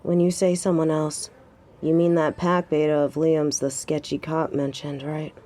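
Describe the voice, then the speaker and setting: A woman's voice: low-pitched, little pitch movement, moderate pace, dark tone, natural voice. A woman playing a protective, stubborn young lover in an intimate audio drama, wry and conversational. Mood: worried. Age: young adult.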